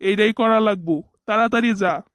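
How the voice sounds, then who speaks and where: A man's voice: high voice, moderate pace, fairly bright tone, dry recording, studio quality. A man voicing a bossy, teasing young village boy in a cartoon, talking in a colloquial, commanding way. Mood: smug, teasing. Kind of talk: cartoon voice acting.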